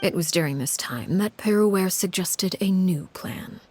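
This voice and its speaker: A woman voice actor playing a composed, cold, commanding woman who narrates a grim past in a game, in a measured, grave, articulate manner. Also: fairly low pitch, darkish tone, breathy edge. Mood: grave, restrained. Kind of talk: scripted video game story narration.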